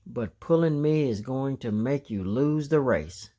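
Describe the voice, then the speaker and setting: A man's voice: mid-range voice, expressive, unhurried, dark tone, lightly acted. A man voicing a boastful, playful young male train engine in a children's storybook. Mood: sincere.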